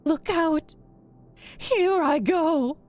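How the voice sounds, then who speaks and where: Woman voicing a wicked old witch, loud and dramatic. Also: very high voice, very slow, faint music behind, voice pushed up, heavily acted. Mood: wailing, despairing. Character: wicked old witch, scornful, wailing as she melts. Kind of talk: dramatic storybook character voice.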